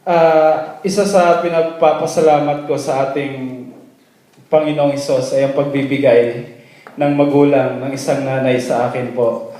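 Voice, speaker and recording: A man's voice: mid-range voice, little pitch movement, normal speed, mellow. A man with a Filipino accent giving a personal testimony in church, laughing nervously and switching between English and Tagalog. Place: church hall. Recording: echoey church hall.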